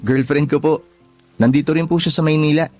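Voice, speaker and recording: An actor playing a polite, eager nineteen-year-old vendor trainee in a Filipino radio drama, deferential and earnest, with a Filipino accent. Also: male voice, a little high, fairly even intonation, fairly quick, darkish tone, natural voice. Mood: hopeful. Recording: music playing behind the voice.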